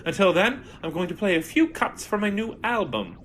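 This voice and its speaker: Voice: mid-range voice, sing-song, moderate pace, a little hiss or hum, natural voice. Pompous, theatrical Martian villain played by an actor. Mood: commanding. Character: indignant, grandiose Martian villain rebel with a ray gun. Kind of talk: audio drama acting.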